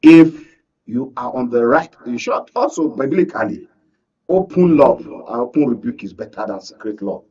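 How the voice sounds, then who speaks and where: A man's voice: mid-range voice, lively intonation, normal speed, a little mellow, slightly rough. A Nigerian man speaking as an analyst in a political panel discussion, emphatic and punctuating his points with rhetorical questions. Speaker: panel guest, media analyst. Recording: distant mic, dull lo-fi sound.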